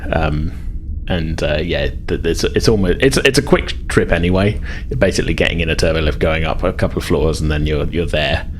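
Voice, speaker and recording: A man's voice: extremely low pitch, a little fast, a little hiss or hum. A man narrating a tabletop role-playing game as game master, in a hesitant, improvised, conversational way.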